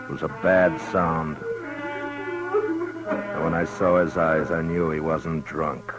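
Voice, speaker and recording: A very low-voiced stern Old West marshal, terse and hard-boiled. He speaks in the plain, grim manner of a 1950s radio western, with music clearly behind him. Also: fairly even intonation, slow pace. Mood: grim, measured. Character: stern, weary male frontier marshal narrating and giving orders. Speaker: radio drama lead and narrator.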